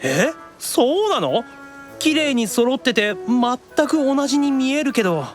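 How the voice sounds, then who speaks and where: An actor playing a youthful, excitable demon younger brother in an anime audio drama, casual and expressive in his reactions. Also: very high voice, measured pace, faint music behind, heavily acted. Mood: puzzled.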